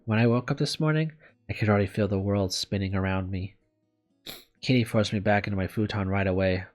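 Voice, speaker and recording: A man's voice: fairly low pitch, fairly quick, natural voice. A man voicing an anxious, self-doubting young woman teacher sick in bed in a visual novel, hesitant and self-pitying, with music behind. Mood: glum, apologetic. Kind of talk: visual novel read aloud. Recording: background music under the voice.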